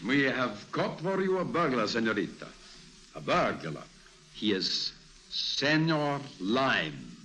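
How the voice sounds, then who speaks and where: An actor playing a stern, suspicious armed guard in a 1950s radio drama, commanding, with foreign-sounding phrasing. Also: male voice, mid-range voice, very animated, takes it slowly, bright, forceful tone, exaggerated voice. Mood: protective, menacing. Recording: noisy old radio recording.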